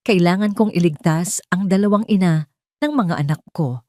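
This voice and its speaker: A woman's voice: fairly low pitch, moderate pace, mellow, no room sound, clean studio sound, lightly acted. A woman voicing a cold, authoritative man, a doctor giving terse orders in an audio story. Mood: cold, commanding. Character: cold, commanding male doctor protecting his children.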